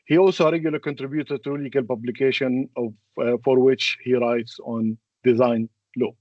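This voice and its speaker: A man, a native Arabic speaker, moderating a webinar and introducing speakers in a halting, hesitant way. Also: mid-range voice, steady tone, no room sound, lo-fi sound.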